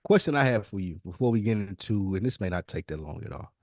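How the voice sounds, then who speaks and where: Male podcast co-host, low voice, dry close sound, reflective, casual, repeats words while thinking. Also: animated, quick pace, no echo. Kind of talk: casual chat.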